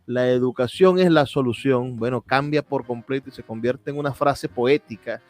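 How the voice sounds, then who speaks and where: A man with a Venezuelan accent hosting a radio show in an earnest, measured, reflective way. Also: medium pitch, a little mellow, dry recording. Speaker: radio host. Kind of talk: radio show introduction and interview. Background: music.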